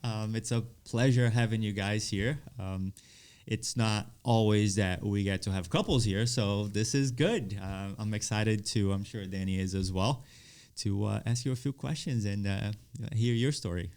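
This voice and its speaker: Casual male host with lowish voice. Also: moderate pace, a little mellow, smooth. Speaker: podcast host. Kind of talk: casual interview chat.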